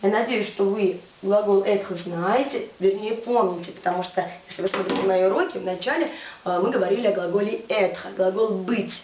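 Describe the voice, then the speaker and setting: A woman teaching French in a video lesson, patiently spelling out words and saying them slowly for the listener to repeat. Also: mid-range voice. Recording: roomy, distant, dull with faint hiss.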